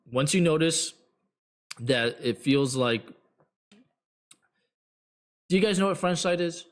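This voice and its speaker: A man hosting a trading show, giving blunt, rambling advice to viewers with many fillers, his voice getting hoarse. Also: mid-range voice. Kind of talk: casual advice talk to viewers.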